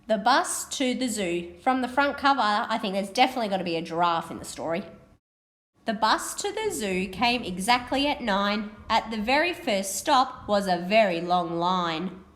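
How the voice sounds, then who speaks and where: A woman teacher giving a read-aloud lesson to young children, with expressive, deliberate delivery. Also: medium pitch. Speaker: primary school teacher.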